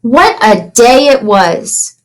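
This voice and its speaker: Female children's read-aloud teacher: high register, very measured pace, animated delivery, chatty asides to young listeners. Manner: animated storytelling, asking children simple questions.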